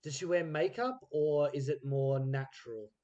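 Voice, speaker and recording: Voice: mid-range voice, moderate pace, warm tone, muffled recording. A podcast interviewer with a middle-range voice. The delivery is casual and informal, with an encouraging way of putting questions to guests.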